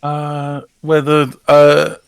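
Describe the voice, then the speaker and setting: A man's voice: a little high, monotone, drawn-out words, creaky, clear, resonant tone, no echo, clean studio sound. A man in casual chat, talking someone through a technical fix, often stammering and repeating words. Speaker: live-stream participant giving technical help.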